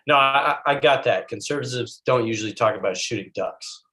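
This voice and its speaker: A man playing a flirty, unbothered slacker bro in a comedy table read, with halting, slangy speech. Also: medium pitch, sharp tone, natural voice. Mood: deadpan flirting. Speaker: actor in a screenplay table read.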